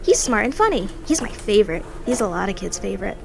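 A woman's voice: medium pitch, big pitch swings, fast, gravelly, noisy background. An actress playing a blunt, defiant, world-weary girl who speaks her mind plainly. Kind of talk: audio drama acting.

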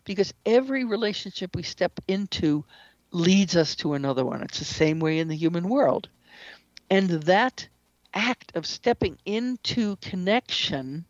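A woman's voice: medium pitch, no room sound. Woman interview guest, dry and slightly muffled. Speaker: interview guest, shamanic practitioner and author. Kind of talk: conversational explanatory interview talk. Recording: slightly dull lo-fi audio.

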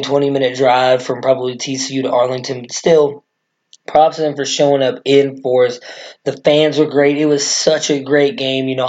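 A man with a hoarse, worn-out voice hosting a casual sports podcast, rambling excitedly as a fan. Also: mid-range voice. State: hoarse from screaming. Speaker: podcast host.

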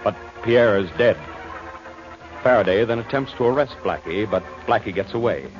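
A man gives scripted narration in 1940s radio announcer style. His voice is fairly low, and the dull old recording has music beneath it. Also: moderate pace. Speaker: story narrator.

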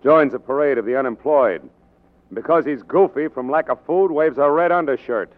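An actor playing a cynical, flippant newspaper reporter in a 1940s radio drama, delivering dry sarcasm. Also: male voice, mid-range voice, normal speed, close to an everyday voice. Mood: sarcastic. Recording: thin, narrow-band 1940s radio recording.

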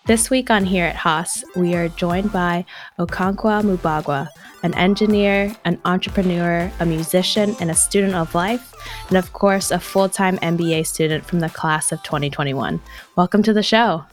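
A woman's voice: fairly low pitch, moderate pace, soft background music. Upbeat young woman hosting a podcast. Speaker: student podcast host. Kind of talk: scripted show introduction and interviewing.

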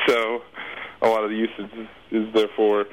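A man's voice: on the low side, completely flat delivery, normal speed, low-quality recording. A man speaking as an expert interview guest, explaining things informally in a conversational, circling way. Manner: informal, circling explanations with repeated "you know".

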